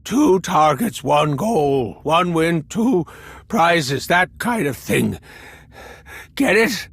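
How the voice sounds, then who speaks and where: An actor playing a nervous, eccentric stim-addicted hacker in a video game, raspy and rambling in a stammering way. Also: male voice, fairly high pitch, sing-song, extremely slow, fairly bright tone, heavily acted. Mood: agitated, evasive. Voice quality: raspy, scratchy. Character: jittery, eccentric male slicer addicted to stims.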